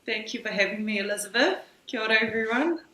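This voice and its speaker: A woman's voice: fairly high pitch, expressive, fast, sharp tone, reverberant, a little hiss or hum. A woman in her forties with a New Zealand accent, a guest talking casually and reflectively over a remote call, often restarting her thoughts. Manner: reflective, loose and searching, frequent restarts. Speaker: guest, brand founder. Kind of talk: casual conversational interview. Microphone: remote video call.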